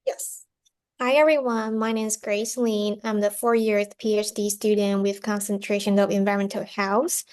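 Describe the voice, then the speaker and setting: A woman's voice: medium pitch, flat delivery, moderate pace, a little mellow. A young woman from Taiwan, a PhD student introducing herself on a video call panel. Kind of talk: brief self-introduction on a panel.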